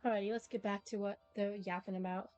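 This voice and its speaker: A woman's voice: medium pitch, steady tone, quick pace, a little mellow, highs cut off, faint music behind. A woman live-streaming a game, chatting casually and muttering asides to herself.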